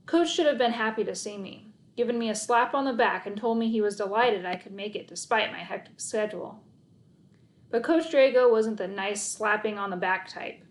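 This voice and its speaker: Casting: female read-aloud narrator for a kids' novel, higher-pitched voice, expressive first-person storytelling.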